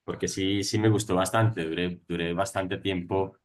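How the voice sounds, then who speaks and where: Low-voiced guy, teacher, keeps repeating himself, hesitant. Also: steady tone, moderate pace. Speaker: university teacher. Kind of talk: online class discussion.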